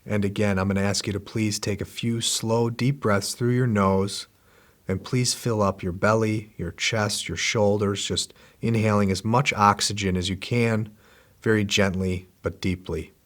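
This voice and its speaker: Gentle low-voiced male meditation guide. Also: fairly even intonation. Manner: slow, gentle, repetitive instructions. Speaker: meditation teacher.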